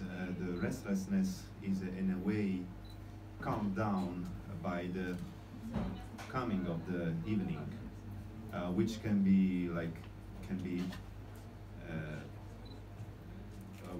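A young man at a small open-mic night, introducing and reciting poems in a hesitant, measured way, recorded on a handheld mic from out in the audience. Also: deep voice, far from the mic. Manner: hesitant, many fillers when explaining. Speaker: poetry reader. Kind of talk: poetry reading at an open mic. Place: small cozy room with string lights, indoors. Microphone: handheld mic, filmed from far in the audience. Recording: echoey room recorded from afar, noisy.

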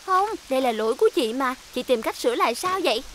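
High-pitched, kindly cartoon big sister. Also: female voice, expressive, fast, slight background noise. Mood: reassuring. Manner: gentle, explaining, encouraging. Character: kind, reassuring big sister guiding young children. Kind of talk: animated children's series dub.

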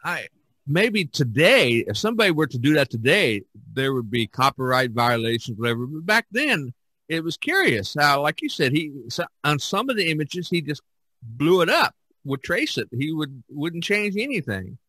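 A man hosting a casual podcast discussion as an artist, speaking haltingly with repeated false starts. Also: on the high side, sing-song, pushed and bright, slightly rough, no echo, low-quality recording.

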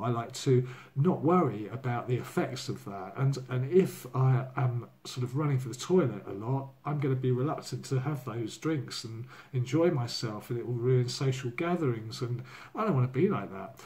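Hoarse man in his sixties. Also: medium pitch, darkish tone. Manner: candid, rambling, many fillers. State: hoarse from a cold. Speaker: vlogger. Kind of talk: casual personal video diary. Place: living room at home. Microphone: laptop or phone camera at desk.

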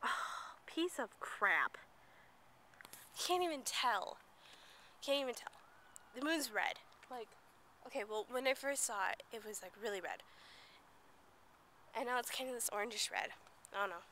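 A young woman with a stuffy cold rambles through a casual vlog, her voice bright and a little high. She films herself at arm's length outside at night, and faint outdoor noise sits under her voice. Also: expressive, unhurried, long pauses. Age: young adult. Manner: rambling and chatty, drops to whispers at times. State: sick with a blocked nose. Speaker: vlogger. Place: outdoors at night near a playground and parking lot. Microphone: handheld camera at arm's length.

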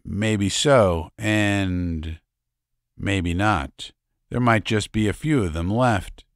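A man's voice: lowish voice, very slow, dry recording, clean studio sound. A man reading aloud, voicing a blunt, suspicious hard-eyed man. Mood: doubtful. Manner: blunt, accusing.

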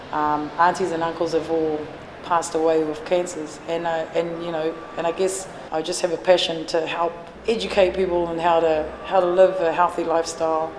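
Earnest low-voiced woman in an echoey café. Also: a little mellow. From New Zealand. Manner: earnest, many fillers and restarts. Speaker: café owner and fitness trainer. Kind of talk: casual interview answers. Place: café, indoors. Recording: echoey room with long reverb and music behind.

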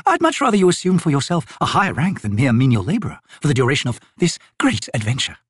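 A man's voice: fairly high pitch, wildly swooping pitch, fast, husky, no echo, voice pushed up, heavily acted. A man voicing a haughty, teasing noble sorceress in an audiobook, with ornate, lilting, imperious phrasing.

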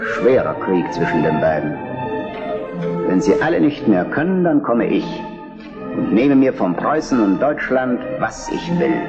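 A calculating, imperious French emperor. He speaks with lively melody in a vintage drama. The soundtrack is dull, with loud music behind. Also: on the low side, measured pace. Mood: scheming. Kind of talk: vintage historical drama acting. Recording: dull old film soundtrack.

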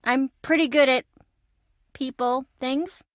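A woman's voice: high voice, steady tone, slow pace, dry recording, clean studio sound. An actress voicing a chatty, impulsive young woman in a video game, breezy, wry and self-dramatizing. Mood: defensive. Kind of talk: video game voice acting, conversational.

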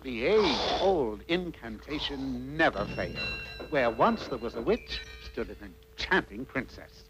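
A man's voice: medium pitch, sing-song, unhurried, over music. A man narrating a scripted cartoon fairy tale in a wry, mock-dramatic storytelling manner. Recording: faintly noisy old cartoon soundtrack.

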